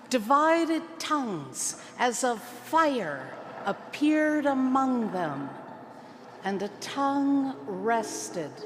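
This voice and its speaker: A woman giving a dramatic scripture reading at a church service, with theatrical, deliberate phrasing and long pauses. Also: a little high, a little hiss or hum. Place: large church. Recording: long church reverb, music playing behind. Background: music.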